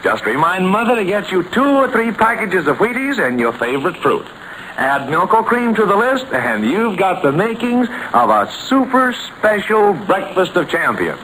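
A man's voice: high voice, sing-song, moderate pace, pushed and bright. A man announcing in hearty, emphatic 1940s radio style on a noisy vintage recording. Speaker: announcer and narrator.

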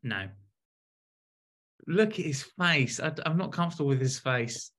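A man's voice: a little high, animated, measured pace, a bit bright. A man co-hosting a podcast, dropping dry, teasing quips. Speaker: podcast co-host. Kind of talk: casual chat.

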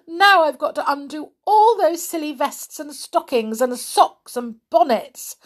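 A woman's voice: high-pitched, lively intonation, measured pace, voice pushed up. A woman doing a flustered, fretful Sally Simple. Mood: tearful. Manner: breathless exclaiming, stammering.

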